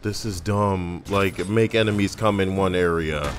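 A man's voice: low-pitched, unhurried. A man doing casual, profane gameplay commentary, muttering sardonic complaints over the game. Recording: game music behind the voice. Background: video game music.

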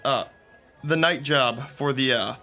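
Bright-voiced, chatty theatre techie played by a man. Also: mid-range voice, measured pace, faint music behind. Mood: amused storytelling. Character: Rick, chatty friendly young male theatre techie storyteller. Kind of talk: audio drama acting, conversational.

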